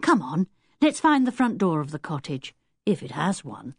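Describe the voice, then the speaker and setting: Woman voicing a calm, sensible lead boy. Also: fairly low pitch, moderate pace, husky, no room sound, studio quality, full character voice. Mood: steady. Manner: reasonable, calm, firmly in charge. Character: sensible, steady older boy, the group's leader.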